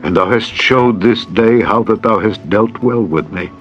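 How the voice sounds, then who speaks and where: A man's voice: on the low side, measured pace, close to an everyday voice. An actor playing an older, humbled king, grave and sorrowful, in a dramatized scripture reading. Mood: humbled remorse. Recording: dull lo-fi audio with music.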